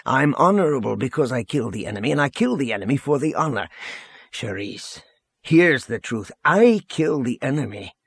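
A man's voice: medium pitch, big pitch swings, moderate pace, creaky, breathy edge, no room sound, heavily acted. A man voicing a stern, earnest general in an audiobook, blunt and pressing in his indignation. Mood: stern, pleading.

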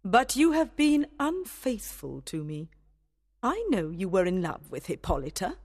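A woman's voice: medium pitch, big pitch swings, a little slow, darkish tone. A woman playing a proud, defiant fairy queen with an imperious, dramatic manner in a storybook. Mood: defiant, offended.

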